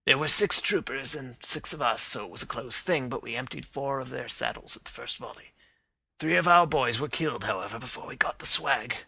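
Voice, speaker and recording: A man's voice: medium pitch, a little fast, vocal fry, pushed and bright, breathy edge, dry recording, exaggerated voice, low-quality recording. A man voicing a dying older man who pleads and confesses with emotion. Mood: confessing. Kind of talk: dramatized reading of a detective story.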